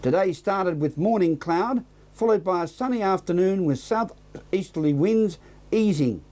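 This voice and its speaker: A man's voice: high-pitched, lively intonation, measured pace, mellow. A man with an Australian accent presenting local television news and sport, reading bulletins and putting questions to guests.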